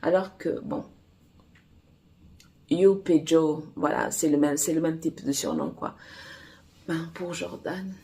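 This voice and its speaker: Slow, halting woman author chatting. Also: sits low, dark tone. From Angola. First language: Portuguese. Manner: halting, many fillers and restarts. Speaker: romance author and publisher. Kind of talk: casual vlog chat.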